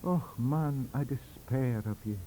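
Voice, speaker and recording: A man's voice: mid-range voice, a little slow, dark tone, voice pushed up, close to an everyday voice, rough recording. A man voicing an indignant, combative Scottish academic, bellowing and declaiming in an audiobook story. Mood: aghast. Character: shouting, indignant Scottish male professors and librarian, combative, scornful.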